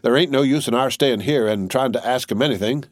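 A man voicing a wounded rural soldier who talks in a halting, drawling backwoods dialect, for an audiobook. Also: mid-range voice, no room sound, studio quality, voice pushed up, close to an everyday voice. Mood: rambling folksy. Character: wounded rural male soldier, rambling, folksy. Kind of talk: audiobook character voice.

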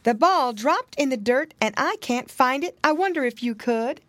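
A woman's voice: high-pitched, animated, moderate pace, a bit bright, dry recording, voice pushed up. A woman voicing a kindly female muskrat nurse in a children's storybook, polite and effusive. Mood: polite request.

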